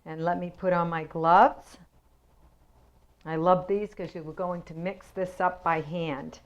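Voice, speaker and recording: A woman's voice: on the low side, fairly even intonation, a little slow, muffled recording. A woman hosting a cooking show from her kitchen, chatty and homey as she explains each step. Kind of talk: home cooking demonstration. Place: home kitchen.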